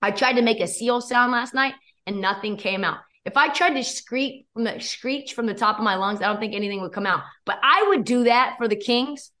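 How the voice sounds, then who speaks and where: A young woman guest with a hoarse, worn voice, talking sports and betting in a quick, energetic, opinionated way. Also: on the high side. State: losing her voice. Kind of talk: casual sports chat.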